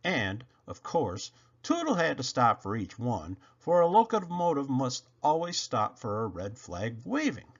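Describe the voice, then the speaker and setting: A man reading a children's story aloud, narrating expressively with dramatic emphasis and drawn-out words. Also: mid-range voice, sing-song, highs cut off. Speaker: storybook reader.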